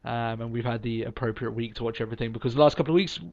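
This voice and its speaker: A man's voice: mid-range voice, steady tone, racing, a little mellow. A man with a cold, hosting a podcast and chatting casually in an upbeat, rambling way with lots of ums and restarts. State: sniffly from a cold. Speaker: podcast host. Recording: dull lo-fi podcast recording.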